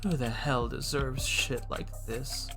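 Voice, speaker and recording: A man's voice: mid-range voice, steady tone, takes it slowly, vocal fry, over music. A man voicing a blunt, jaded, suspicious crime-scene investigator in a clipped, accusing manner for a game.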